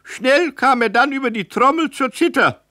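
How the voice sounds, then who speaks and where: An actor playing a solemn, formal radio presenter, delivering mock documentary narration deadpan. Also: male voice, extremely high pitch, moderate pace, dry recording, studio quality, heavily acted. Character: solemn male radio music presenter reciting absurd biography.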